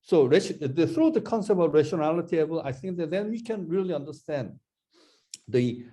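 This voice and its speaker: A man answering questions in an academic discussion over a video call, halting and restarting his phrases often. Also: a little high, expressive, warm tone, slightly rough. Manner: halting, repeats words, many restarts.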